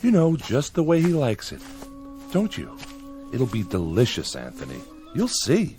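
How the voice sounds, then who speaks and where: Slow, swooping, placating father. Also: medium pitch, wildly swooping pitch, mellow. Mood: nervous, cajoling. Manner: soothing, forced cheer. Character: nervous, falsely cheerful father placating a dangerous child. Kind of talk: radio drama acting. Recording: music under the voice.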